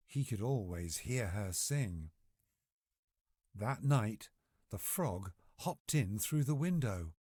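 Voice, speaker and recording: Deep, slow male storyteller with lively intonation. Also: no echo, studio quality. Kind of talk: scripted bedtime story narration for children.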